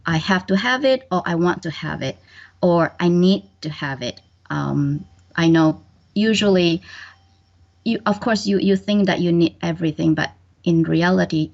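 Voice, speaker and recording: A woman's voice: lowish voice, gravelly, a little mellow. A middle-aged woman from Indonesia, raised in Malaysia, answering interview questions hesitantly with many ums. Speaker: bookkeeper and guest. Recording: dull lo-fi audio.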